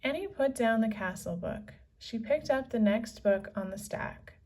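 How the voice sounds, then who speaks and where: A woman reading a children's story aloud as narrator, with measured, deliberate phrasing. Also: on the high side, animated, darkish tone. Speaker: storybook reader. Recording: slightly distant from the microphone.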